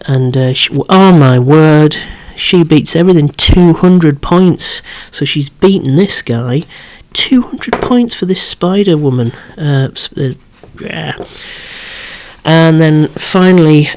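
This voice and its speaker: A person in a soft, breathy whisper, rambling hesitantly and correcting themselves while showing off a collection in ASMR style. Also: medium pitch. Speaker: collector presenting a vintage toy collection. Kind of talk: whispered ASMR show-and-tell.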